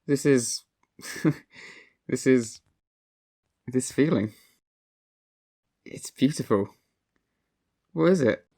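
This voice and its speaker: A woman playing a hapless, wistful dead writer in an amateur comedy drama, awkward and halting. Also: a bass voice, mellow, full character voice. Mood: moved.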